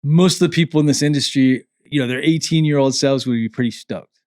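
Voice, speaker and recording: Dry-sounding fast-talking man in his forties. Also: medium pitch, no room sound. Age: 40s. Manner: relaxed, agreeable, lots of short affirmations. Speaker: podcast host. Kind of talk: casual podcast chat.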